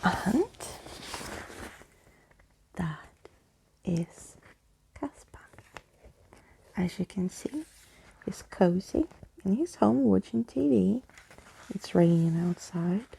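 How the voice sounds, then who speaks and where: Soft asmr lady reading a bedtime book, super husky n crackly, takes it slow. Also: mid-range voice, animated. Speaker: storyteller, ASMR video host.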